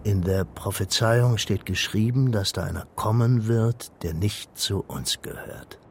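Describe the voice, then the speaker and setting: A grave member of a secret sect in a radio drama. His voice is lowish and measured, with a faint rumble behind it. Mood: solemn. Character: solemn, probing male member of secretive group. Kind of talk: German radio drama acting.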